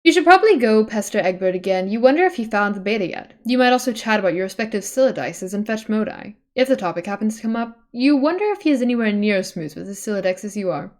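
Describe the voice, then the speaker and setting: A woman's voice: medium pitch, expressive, quick pace, few pauses. Woman casually reading a story aloud. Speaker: streamer reading a webcomic aloud. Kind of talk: casual read-aloud narration with chatty asides.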